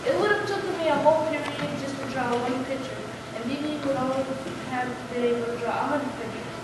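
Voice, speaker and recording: Earnest, bewildered schoolboy, voiced by a young female amateur, high pitch, plain delivery, reverberant hall. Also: brisk, close to an everyday voice. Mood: matter-of-fact. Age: young adult or younger, school pupil. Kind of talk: school readers' theater performance. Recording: very noisy echoey hall, distant mic.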